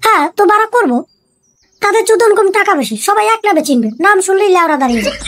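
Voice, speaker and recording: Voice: extremely high pitch, sing-song, very fast, a bit bright, exaggerated voice. A performer voicing a cocky, foul-mouthed young would-be street thug in a comic cartoon, with music behind. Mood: boastful. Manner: brash, swaggering, crude. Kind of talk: comic Bengali cartoon skit dialogue.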